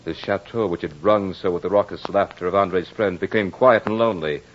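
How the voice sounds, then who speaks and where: An actor playing a proud, battle-hardened soldier in old radio horror drama, with formal, theatrical diction. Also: male voice, sits low, fairly quick, a little hiss or hum, natural voice. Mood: brooding, remorseful. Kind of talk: 1940s-50s radio horror drama acting. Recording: muffled old-time radio recording with music.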